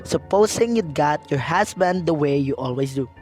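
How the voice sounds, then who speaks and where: A man playing a dismissive, placating police officer taking a call in a radio drama, patronizing and unhurried. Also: a little high, expressive, a little mellow, faint music behind, natural voice. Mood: skeptical.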